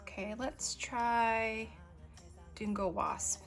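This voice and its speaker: Chatty woman vlogger, soft background music. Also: on the high side, fairly even intonation. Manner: chatty, unhurried, lots of ums, asides while working. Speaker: stationery vlogger. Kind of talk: casual show-and-tell narration.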